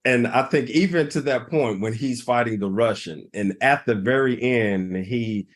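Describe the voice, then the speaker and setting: A man's voice: medium pitch, measured pace. A middle-aged man speaking as a podcast guest, earnest and heartfelt, sometimes repeating words as he builds a point. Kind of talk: reflective interview conversation.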